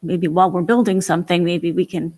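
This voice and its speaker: A woman's voice: on the low side, level tone, fairly quick, a little mellow. A woman on a town board asking questions at a public meeting in a hesitant, inquisitive way with many fillers.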